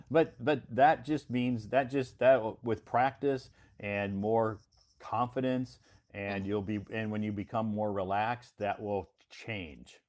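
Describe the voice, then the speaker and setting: An American man with a fairly low voice chats with a learner in an easygoing, encouraging way. He often restarts his sentences and repeats phrases to reassure her. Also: fairly even intonation, takes it slowly, a little mellow. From United States. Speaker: English teacher and host. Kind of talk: casual conversation lesson.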